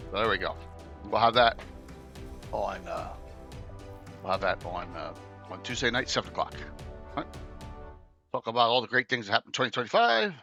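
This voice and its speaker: A man in his late fifties hosting a casual chat show, joking and rambling excitedly. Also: mid-range voice, dull audio. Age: 50s. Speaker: podcast host.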